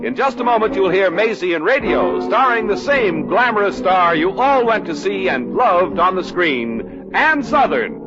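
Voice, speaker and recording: Male 1950s show announcer, grand delivery, loud music, muffled radio. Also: sits high, very animated, moderate pace.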